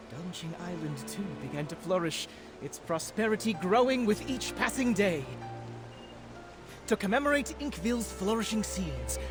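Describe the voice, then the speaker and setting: A man voicing a grandiose storyteller in a video game, telling legends in a formal, dramatic, declaiming manner. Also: high-pitched. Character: grandiose male storyteller of ancient legends, easily flustered.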